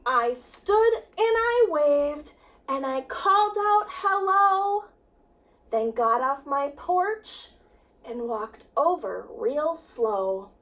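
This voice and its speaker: Lady doing kids story time, super slow and singsongy up and down, a bit far from the mic. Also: high-pitched. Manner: expressive rhyming storytelling with dramatic pauses. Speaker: children's director reading a picture book. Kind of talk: children's story read-aloud. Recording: distant microphone.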